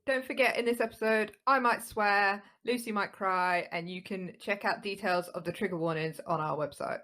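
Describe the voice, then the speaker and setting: A woman's voice: mid-range voice, normal speed, a bit bright. A young woman co-hosting a podcast, chatting casually with a wry, dry sense of humour. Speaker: podcast co-host.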